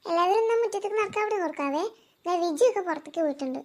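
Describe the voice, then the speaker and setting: A performer puts on an extremely high, childlike cartoon voice for family comedy. The talk is chatty and colloquial, like children and aunties bantering at home. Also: expressive, racing, a little mellow.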